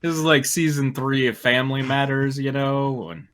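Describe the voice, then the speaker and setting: A man's voice: mid-range voice, steady tone, normal speed, a crowd in the background. A man narrating fan fiction and adding joking commentary as he goes.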